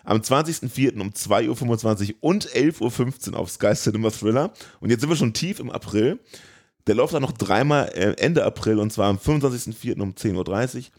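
Low-pitched man, casual podcast co-host. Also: a little mellow. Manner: colloquial, jokey. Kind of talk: casual banter, reading out news and TV listings.

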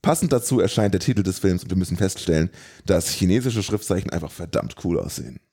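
Male podcast host, lowish voice, tongue-in-cheek film recap read from a script. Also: lively intonation, fast, mellow, husky.

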